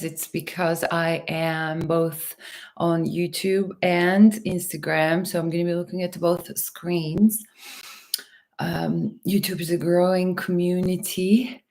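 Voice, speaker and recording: A woman from Turkey and Los Angeles hosting a casual livestream, talking to viewers in a gentle, unhurried, spiritual wellness manner. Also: fairly low pitch, flat delivery. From Turkey and Los Angeles, USA. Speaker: host, hypnotherapist and mentor.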